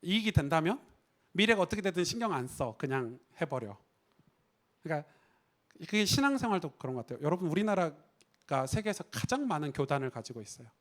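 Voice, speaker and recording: Conversational Korean pastor preaching. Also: male voice, on the high side, sing-song, fairly quick, a bit bright. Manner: conversational, rhetorical questions, acts out quoted voices. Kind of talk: sermon. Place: church.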